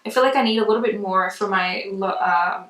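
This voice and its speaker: A woman's voice: medium pitch, fairly quick, a bit bright. Roomy distant-mic sound, woman doing a chatty makeup tutorial, just talking casually as she goes. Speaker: makeup vlogger.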